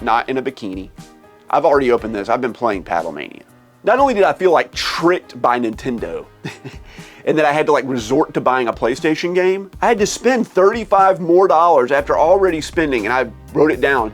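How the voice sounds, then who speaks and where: A man hosting a gaming vlog, sick with a cold and losing his voice, ranting in an exasperated, animated way from his game room. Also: medium pitch. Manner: exasperated, animated complaining with laughs. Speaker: gaming channel host. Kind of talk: casual vlog rant.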